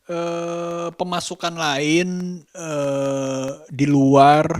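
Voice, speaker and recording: A man talking casually in an unscripted vlog, halting often as he searches for words. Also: a little high, no room sound, studio quality. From Indonesia. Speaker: vlogger and musician.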